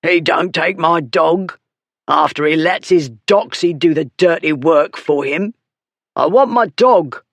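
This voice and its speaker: A narrator voicing a coarse, drunken man who blusters and shouts in rough working-class speech. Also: medium pitch, measured pace, no echo. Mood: blustering. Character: drunken coarse male dog beater, blustering.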